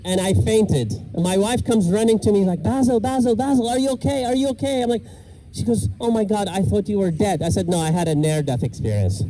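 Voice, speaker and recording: A middle-aged man from Iraq performs stand-up outdoors with a fairly high voice. He delivers self-deprecating jokes with deadpan timing, and clear park noise and passing cars run under the set. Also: brisk, low-quality recording. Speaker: stand-up comedian. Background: park ambience and vehicles.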